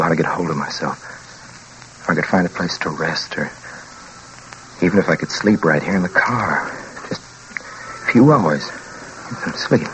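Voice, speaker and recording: An actor playing a frightened, haunted man driving alone, narrating intensely and haltingly in 1940s radio drama style. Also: deep voice, noisy background, close to an everyday voice. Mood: shaken, bleak. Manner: intense, halting, repeating himself. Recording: old 1940s radio broadcast with music.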